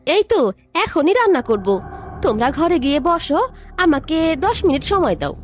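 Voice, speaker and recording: A woman's voice: sits high, sing-song, moderate pace. A woman voicing an evil female ghost who poses as a village wife, sweet and evasive, in a cartoon with faint music behind. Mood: sly. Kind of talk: cartoon voice acting.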